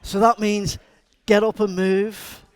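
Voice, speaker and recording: Middle-aged male agile coach with a Scouse accent, slightly higher voice, dry close sound, chatty and playful with the room. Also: flat delivery, takes it slowly, clear, resonant tone, dry recording. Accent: Scouse (Liverpool). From Liverpool, England. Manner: chatty, jokey, asks the audience questions. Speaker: conference speaker and agile coach. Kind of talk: live conference talk to a room.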